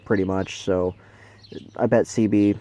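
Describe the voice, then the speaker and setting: A man's voice: fairly low pitch, steady tone, measured pace, mellow. A man with an American accent narrating a casual vlog in a hesitant, informal way. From Kansas, United States. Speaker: amateur video maker, CB radio newcomer.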